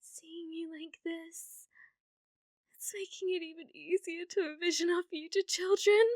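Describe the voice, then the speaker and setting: Woman acting a high-voiced British obsessive witch. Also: a bit bright, no room sound, clean studio sound, heavily acted. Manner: breathy cooing, often dropping into whispers. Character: obsessive, clumsy, lovestruck young witch, doting yet menacing. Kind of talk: ASMR roleplay.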